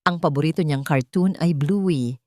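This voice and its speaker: A woman with a Filipino accent narrating a dramatic audiobook story in an expressive, theatrical way. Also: sits low, moderate pace, no room sound, studio quality.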